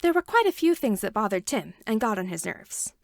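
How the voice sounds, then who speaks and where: A woman's voice: fairly high pitch, a little fast, vocal fry, no echo. Woman with a dry, close narration. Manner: expressive storytelling, shifting emphasis. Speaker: podfic reader. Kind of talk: reading fan fiction aloud.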